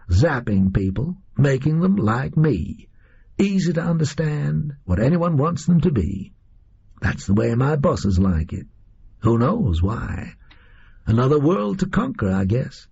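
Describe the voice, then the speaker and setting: Brash schemer, performed by a male reader, breezy storybook villain, gravelly, slightly muffled recording. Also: medium pitch, warm tone, full character voice. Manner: breezy, slangy, chatty. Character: brash American man from fiction, gleeful cocky schemer.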